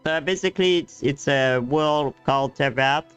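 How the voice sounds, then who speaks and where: A man giving casual live game commentary as a streamer, explaining things haltingly in non-native English grammar. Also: a little high, fairly bright tone. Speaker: gaming streamer. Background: faint game music.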